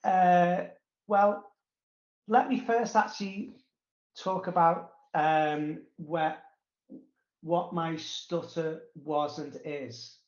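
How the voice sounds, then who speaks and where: Guy with a stutter talking slowly, lots of ums and restarts, echoey dull audio. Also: fairly high pitch, fairly even intonation. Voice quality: stutter with blocks, repetitions and drawn-out pauses. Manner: halting, frequent fillers. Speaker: interview guest. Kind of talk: casual personal recollection. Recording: roomy, echoey, dull sound.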